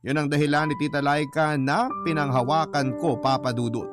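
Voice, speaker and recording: A man reads out a heartfelt first-person letter as a radio drama narrator, with a Filipino accent. His voice is on the high side for a man, over clearly audible music. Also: fairly even intonation, a little fast, lightly acted. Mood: reflective. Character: narrator voicing a young woman's heartfelt letter. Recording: prominent music bed under narration.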